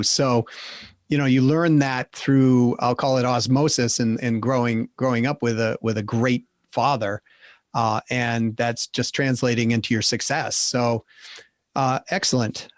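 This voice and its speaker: A man's voice: medium pitch, no echo, clean studio sound. A middle-aged man with a Boston accent hosting a business podcast, upbeat and emphatic, speeding up in bursts. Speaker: podcast host. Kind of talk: interview hosting and wrap-up.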